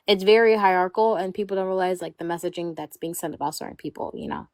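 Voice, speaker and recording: A woman's voice: fairly low pitch, fast, no room sound. A young woman hosting a casual podcast chat, speaking in a rambling way full of "like" and "you know", careful to leave room for nuance. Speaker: podcast host. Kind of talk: casual conversation.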